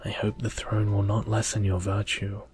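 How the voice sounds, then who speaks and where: A man voicing a stern, just lady fairy in a solemn, measured ASMR storybook reading. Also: lowish voice, monotone, close to an everyday voice. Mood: approving, solemn.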